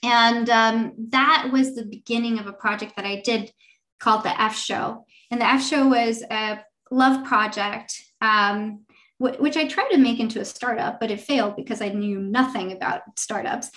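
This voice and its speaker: Woman in her thirties, guest, high voice, talkative and animated. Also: moderate pace. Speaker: venture fund partner, guest. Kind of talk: conversational interview answers.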